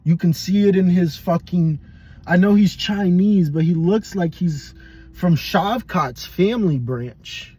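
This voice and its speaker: A man's voice: high voice, a little slow, dark tone, breathy edge. A young adult man casually giving blunt, profane, rambling fight predictions as a podcast host.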